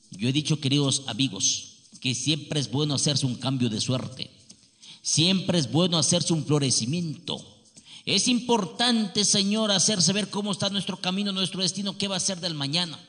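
A man delivering a preaching-style pitch for his healing services, emphatic and repetitive, with pleading appeals. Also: a bit bright. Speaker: healer advertising his services.

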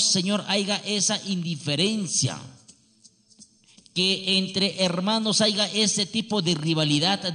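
A man delivering a preaching-style pitch for his healing services, emphatic and repetitive, with pleading appeals. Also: sharp tone. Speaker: healer advertising his services.

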